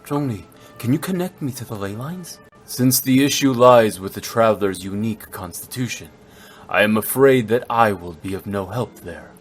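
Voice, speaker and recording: An actor playing a calm, formal ancient man who speaks in a measured, composed way. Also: on the low side, faint music behind.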